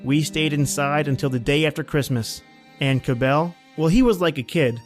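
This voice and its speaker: A man's voice: a little high, moderate pace, faint music behind, natural voice. An actor playing a plain-spoken man at a snowbound outpost, telling his story in a folksy, conversational old-time radio style. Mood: reflective.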